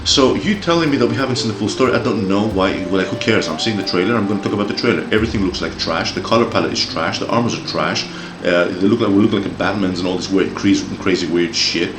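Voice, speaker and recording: A man's voice: sits low, fast talker, hardly any pauses, echoey room, noisy background. A man in his forties with a Greek-Australian accent, ranting heatedly with swearing and stumbling repeats as an opinion commentator. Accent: Greek-Australian English. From Greece, raised in Australia. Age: 40s. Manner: heated, profane, repeats words when riled. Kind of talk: opinion rant.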